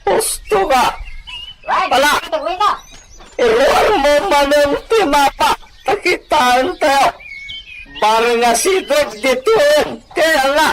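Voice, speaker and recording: An actor playing an excitable, greedy, bossy treasure hunter in an Ilocano audio drama. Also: high voice, slow, long pauses, very noisy, full character voice. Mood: greedy glee. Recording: loud music over the voice.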